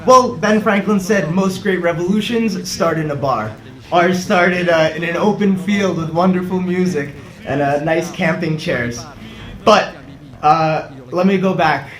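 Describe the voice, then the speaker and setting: A man, a startup co-founder giving an earnest pitch with rhetorical questions. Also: a bit bright. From United States. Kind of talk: scripted pitch video. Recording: echoey room, faint music behind.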